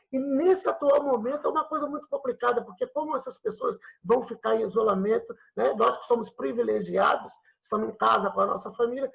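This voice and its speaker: High-voiced, husky Brazilian man hosting. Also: rough recording. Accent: Brazilian, São Paulo state. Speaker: host of an online political and cultural talk show. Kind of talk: casual, friendly interview.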